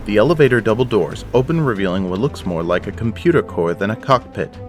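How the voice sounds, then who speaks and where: A man narrates an audio drama in a deep voice. His delivery is scripted and dramatic, set over prominent background music. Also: lively intonation, fast.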